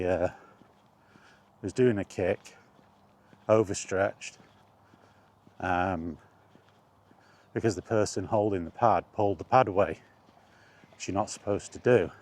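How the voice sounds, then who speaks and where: A man's voice: sits low, darkish tone. A middle-aged British man casually rambling through personal memories, as in an informal vlog. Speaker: vlogger.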